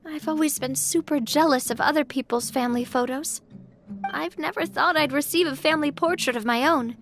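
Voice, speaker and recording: A woman's voice: high voice, fairly quick. An actress voicing an earnest, sentimental young woman who leads a clan, easily embarrassed, in a video game. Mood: grateful, sincere. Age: young adult. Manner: earnest, expressive, hesitant when flustered. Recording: music behind the voice.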